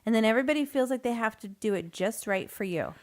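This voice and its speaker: A woman's voice: a little high, animated, fast talker, darkish tone. Casual woman co-hosting a chatty podcast. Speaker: podcast co-host. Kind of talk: casual conversational chat.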